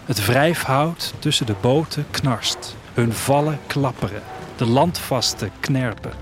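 Man playing a wistful restless traveller, reflective audio drama narration, medium-pitched voice, prominent music underneath. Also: measured pace, lightly acted. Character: wistful, restless traveller leaving his future city. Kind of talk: literary first-person audio drama narration. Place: studio, music behind.

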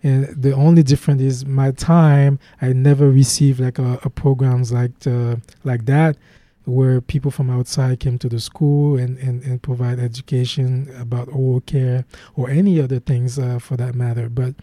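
A young man with a Haitian accent tells his story in an interview, earnest and halting, his sentences full of restarts and fillers. His voice is very dark and mellow, with a fairly flat melody. The sound is dry and close. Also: mid-range voice, dry recording. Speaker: guest, program founder.

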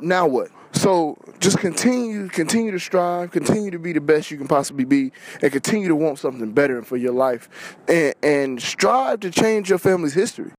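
A man telling a personal story informally as a podcast guest, earnest and emphatic, often repeating phrases for weight. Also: fairly high pitch. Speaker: podcast guest, business owner.

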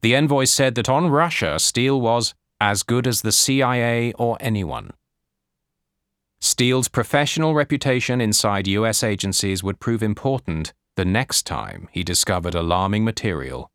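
Low-voiced man narrating a nonfiction audiobook. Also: normal speed, fairly bright tone, no echo, clean studio sound.

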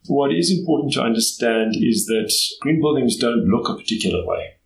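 A middle-aged man with a South African accent, answering interview questions in a thoughtful, measured way with pauses to choose his words. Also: mid-range voice, expressive, gravelly. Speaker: guest. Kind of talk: interview conversation. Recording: echoey room, faint background noise.

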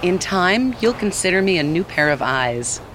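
Calm, polite AI guide voice played by an actor. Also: mid-range voice, noisy background, close to an everyday voice. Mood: calm, instructive. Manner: polite, measured, instructive. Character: calm, helpful AI seeing-eye app assistant. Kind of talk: audio fiction acting, synthetic assistant delivery.

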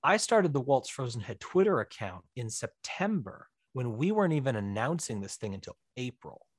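A man with a mid-pitched voice chats as an interview guest on a podcast. He explains things in a casual, chatty way, branching off into asides. The sound is close and dry, with very little room around it. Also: big pitch swings, normal speed, no echo. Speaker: interview guest, writer-director.

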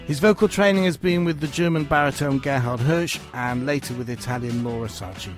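A male radio presenter speaks in a measured, formal way, moving from a scripted introduction into interview questions. His voice sits at a middle pitch. Music plays clearly behind him.

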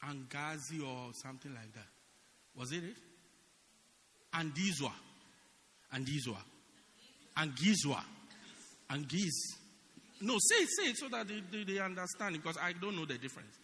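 A man preaching a dramatic sermon as pastor in a church, swinging from loud shouts to quiet asides. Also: fairly high pitch, lively intonation, moderate pace, pauses often, in a large hall.

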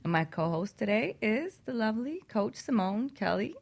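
A woman's voice: on the high side, very animated, normal speed, vocal fry, a little mellow. A woman hosting a radio talk show in a casual, bantering, giggly way alongside a co-host.

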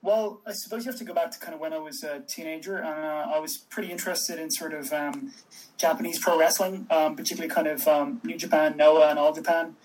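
A man from Dublin with an Irish accent, talking as an interview guest in a tentative way with frequent pauses. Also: on the high side, steady tone, a little mellow, rough recording. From Dublin, Ireland. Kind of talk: conversational podcast interview.

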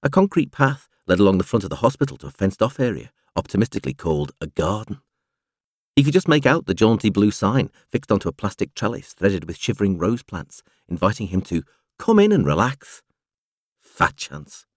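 A male narrator with a fairly low voice reads a novel aloud. His intonation is lively and moves widely as he shapes each phrase in a measured storytelling manner. The sound is dry and close, like a studio audiobook. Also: no room sound. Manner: expressive, measured storytelling delivery. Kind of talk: scripted literary narration.

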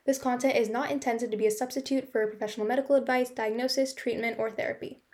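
A woman delivers a scripted podcast announcement at a rapid clip. Her voice is pitched a little high. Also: steady tone, darkish tone. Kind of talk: scripted disclaimer narration.

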